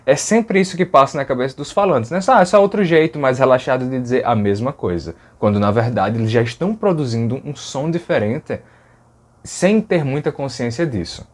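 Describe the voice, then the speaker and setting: A man's voice: mid-range voice, lively intonation, a little fast. Chatty man explaining sounds. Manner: conversational, fillers like "né?", demonstrates sounds aloud. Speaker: language educator. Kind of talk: explanatory video lesson.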